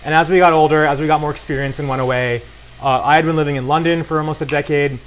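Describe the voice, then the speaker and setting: A man's voice: on the high side, steady tone, quick pace, low-quality recording. A man talking casually and enthusiastically as a guest at a cooking demonstration, with a promotional way of talking. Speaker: guest cook and beer brand co-founder. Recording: clear noise of frying and sizzling. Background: sizzling pan in a cooking demo.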